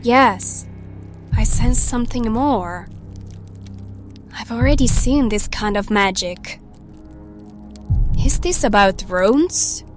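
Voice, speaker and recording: A woman's voice: a little high, slow pace, pauses often, soft background music. A woman playing a plucky young survivor in an audio drama, with a wry, casual, direct way of talking. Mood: earnest, awed. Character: wry, plucky young female survivor seeking to stop an apocalypse.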